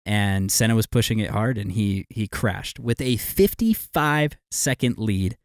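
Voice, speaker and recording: A man's voice: lowish voice, lively intonation, a little slow, no echo, studio quality. Man co-hosting, telling wry stories. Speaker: podcast co-host. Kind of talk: casual chat.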